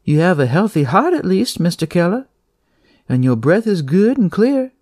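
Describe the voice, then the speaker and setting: A narrator voicing a warm, brisk female hospital nurse with a rich voice and folksy, reassuring chatter. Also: mid-range voice, very animated, dry recording, clean studio sound, voice pushed up. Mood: brisk, kindly.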